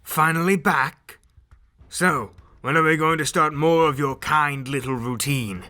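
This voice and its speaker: Arrogant alien warrior prince: sharp, higher-set voice, sneering and contemptuous, fan audio drama. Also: male voice, a little slow. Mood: impatient contempt. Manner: haughty, taunting.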